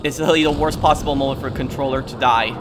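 A man's voice: medium pitch, fairly even intonation, very fast, over music. A man giving casual gameplay commentary as the host of a gaming video. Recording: commentary over noisy game sounds.

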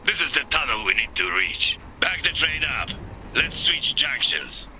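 An actor playing a fierce, defiant middle-aged rebel commander in a video game, gruff, blunt and commanding. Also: male voice, mid-range voice, sing-song, unhurried, sharp tone, soft background music, exaggerated voice. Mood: determined. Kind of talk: dramatic video game voice acting.

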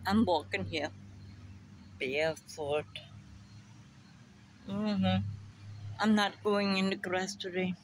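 An older woman with a German accent, musing slowly to a phone camera in her backyard about memory and family. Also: mid-range voice, steady tone, slight background noise, rough recording. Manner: slow, musing, drifting between thoughts. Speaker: vlogger. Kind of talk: rambling personal monologue to camera. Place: backyard outdoors by a fence. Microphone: phone camera at arm's length. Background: crickets and insects.

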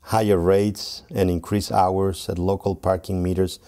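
Earnest man from El Salvador, lowish voice. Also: little pitch movement, dark tone. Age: 40s. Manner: earnest, measured, with frequent "uh" pauses. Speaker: political candidate. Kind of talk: campaign video address. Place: outdoors on city streets and inside a restaurant. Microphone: lapel mic.

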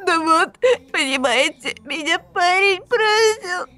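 A woman's voice: very high-pitched, lively intonation, takes it slowly, pushed and bright, exaggerated voice. A woman voicing a mopey emo boy who passes as a girl. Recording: faint background music.

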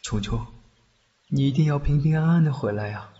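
A man acting a fugitive underworld official and doting, cocky godfather in a fan audio drama, expressive and protective. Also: medium pitch, fairly quick, slightly rough, natural voice. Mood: cocky, fond. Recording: muffled, faint background noise, rain-like ambience.

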